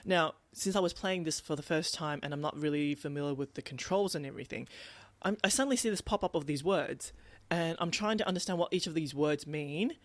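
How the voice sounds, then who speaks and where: A woman's voice: low-pitched, fairly quick, hardly any pauses, no room sound. A woman co-hosting a podcast, telling stories casually and acting out her own thoughts as she goes. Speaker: podcast co-host. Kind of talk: casual conversational storytelling.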